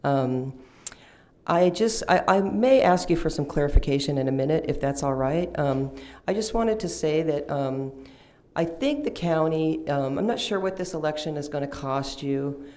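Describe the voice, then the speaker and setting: A woman in her fifties, a union lobbyist, giving confident but halting testimony with many pauses at a county meeting through a table microphone. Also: sits low, a little mellow. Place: county commission hearing room, indoors. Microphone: table microphone, seated.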